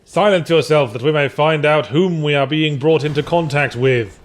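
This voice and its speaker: Man reading as a stern barbarian hero, highish voice. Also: normal speed, natural voice. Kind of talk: fantasy story reading.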